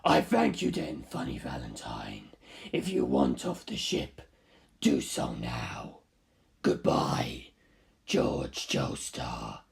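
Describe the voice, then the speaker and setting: Gravelly, arrogant ancient villain. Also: big pitch swings, heavily acted. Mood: cool farewell. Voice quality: very creaky, gravelly low rasp, breathy. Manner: slow, scornful, lecturing. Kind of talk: dramatic audiobook character acting.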